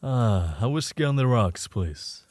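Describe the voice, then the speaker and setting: A man's voice: medium pitch, expressive, measured pace, darkish tone, no room sound. A man playing a courteous, self-assured underworld informant in an audio roleplay, talking in a polite, formal way. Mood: suave, composed.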